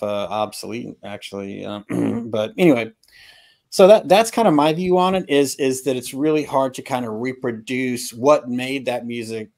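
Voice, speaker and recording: A middle-aged man in a casual music discussion on a video call, halting and opinionated, often clearing his throat. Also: mid-range voice, a little mellow. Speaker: album review panelist. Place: home room with records, indoors.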